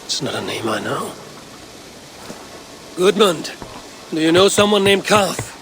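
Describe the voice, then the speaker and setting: An actor playing a brooding Viking clan leader in a video game, measured and solemn, as if murmuring to himself. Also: male voice, sits high, sing-song, a bit bright, slight background noise, full character voice. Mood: solemn, hushed, then questioning, louder. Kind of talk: video game cutscene acting.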